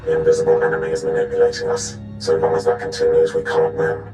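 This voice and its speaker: A man's voice: very high-pitched, huge pitch swings, quick pace, gravelly, a little mellow, echoey room, soft background music, heavily acted. A man voicing a cold, guarded intelligence analyst in a video game, speaking in clipped, terse phrases. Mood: grave, urgent.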